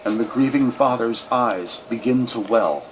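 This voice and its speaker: A man's voice: medium pitch, measured pace, background noise. A man narrating a scripted crime audio drama with vivid, slightly wry storytelling.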